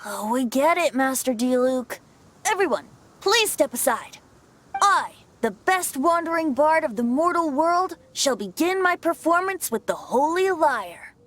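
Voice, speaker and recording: A woman's voice: high voice, expressive, a little slow, fairly bright tone, a little hiss or hum. A woman voicing a boyish, playful young male bard in an anime-style video game, airy and theatrical. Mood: playful, boastful.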